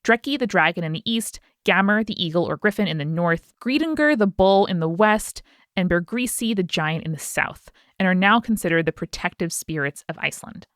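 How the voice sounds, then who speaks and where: A woman co-hosting a podcast, reading out a listener's story in an animated way with chatty asides. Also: lowish voice, brisk, dry recording, studio quality. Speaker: podcast co-host.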